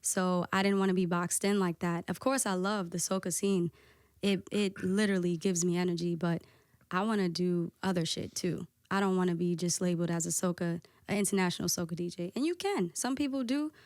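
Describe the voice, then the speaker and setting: A woman DJ guesting on a podcast, talking casually and candidly with a soft voice, peppering her talk with "like" and swearing. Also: on the low side, fairly even intonation, fairly quick, dry recording, studio quality. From United States. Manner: candid, lots of "like" and profanity.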